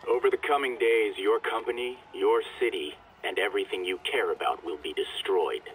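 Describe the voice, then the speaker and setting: An actor playing a menacing, vengeful villain, an anonymous caller speaking with cold, deliberate threat in a video game. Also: male voice, on the low side, fairly bright tone, distant mic, natural voice, low-quality recording.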